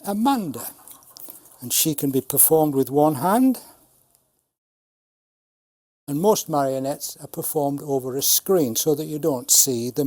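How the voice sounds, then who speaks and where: A man in his seventies, a puppeteer, explaining and showing his craft in a measured way with dry humour. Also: mid-range voice, warm tone, no echo. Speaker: puppeteer, Punch and Judy showman. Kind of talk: informal talk demonstrating puppets.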